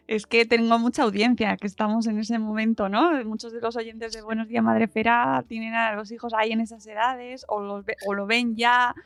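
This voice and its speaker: Female podcast host, higher register, dry studio-like sound, chatty and expressive delivery. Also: normal speed, no echo, faint music behind. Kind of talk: casual interview chat.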